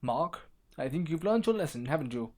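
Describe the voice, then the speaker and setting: A man's voice: a little high, expressive, normal speed, warm tone. A man voicing an earnest circus character telling a story in a children's animation. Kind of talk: scripted animated story dialogue.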